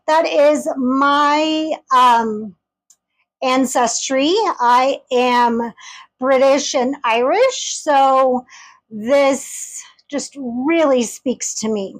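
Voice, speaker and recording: Woman, high voice, slow unhurried delivery, enthusiastic, chatty, casual review. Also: rough recording. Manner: gushing, enthusiastic; chatty, addresses viewers directly. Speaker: tarot deck reviewer.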